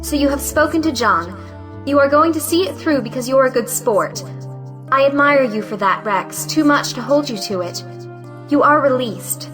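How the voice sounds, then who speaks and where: A woman's voice: high voice, animated, normal speed, music in the background. An actress playing a proud, frank young woman in an audio play, speaking with emphatic dignity. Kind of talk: audio play acting of a 1910s stage comedy.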